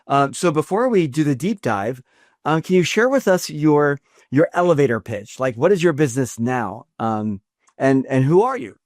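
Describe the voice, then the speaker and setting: Fairly high-voiced man hosting a podcast. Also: animated, darkish tone, no room sound, studio quality. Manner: halting, repeats words while thinking. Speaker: podcast host. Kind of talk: interview with a scripted intro. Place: podcast studio.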